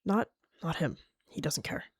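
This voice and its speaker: A woman's voice: a little slow, no echo, clean studio sound, natural voice. A woman voicing a guilt-ridden female medicine cat, anguished and insistent, in a dramatic animal roleplay. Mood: guarded, upset.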